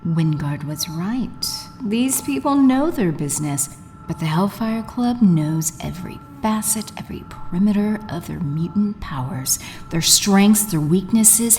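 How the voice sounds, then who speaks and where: A woman's voice: fairly low pitch, a little mellow. A woman playing a cold, commanding villainess in an audio drama, imperious and coolly menacing in her delivery. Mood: cold, calculating. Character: cold, commanding villainess, telepathic leader of henchmen.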